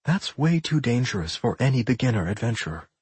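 A weary young male adventurer, acted by a male narrator, husky and muttering. He sounds sarcastic and reluctant, a put-upon hero in a fantasy storybook. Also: medium pitch, big pitch swings, brisk, darkish tone, no room sound. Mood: grumbling.

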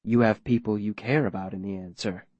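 Man playing a quiet, coldly menacing mayor. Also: lowish voice, steady tone, dry recording, muffled recording, close to an everyday voice. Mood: feigned concern. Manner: measured, formal, falsely gentle and reasonable. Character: smooth-talking, coldly menacing male mayor interrogating a captive.

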